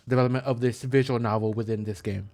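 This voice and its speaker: Guy doing a chill lets play, average pitch, kinda rambly and unsure explaining stuff, super dry mic. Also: steady tone, fast talker, darkish tone, dry recording. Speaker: gaming content creator. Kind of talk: casual let's play commentary.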